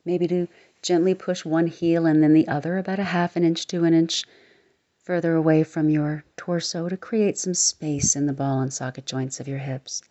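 A woman leads a guided meditation in a low, mellow voice, slow and soothing, her words often dropping into a soft near-whisper. The recording is a little dull and muffled. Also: fairly even intonation.

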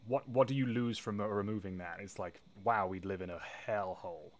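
A man's voice: on the low side, fairly even intonation, a little fast. A man co-hosting a casual discussion, thinking aloud and checking his phrasing with the others.

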